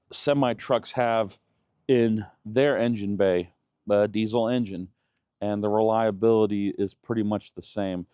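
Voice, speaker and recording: A man's voice: on the low side, warm tone, clear, resonant tone, no echo, studio quality. A man in his thirties, raised in Germany, talking casually in a vlog with many fillers and restarts. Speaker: channel host.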